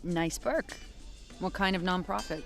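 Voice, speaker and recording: A woman's voice: on the low side, unhurried, vocal fry, soft background music. A woman playing a guarded, dry police detective in an audio drama, speaking in a terse, understated way. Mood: dry, reserved.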